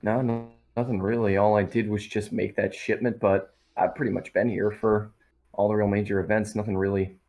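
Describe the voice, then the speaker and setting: A man's voice: on the low side, level tone, normal speed, a little mellow. A man casually improvising a character in an online roleplaying game, offhand and noncommittal. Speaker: tabletop roleplaying player.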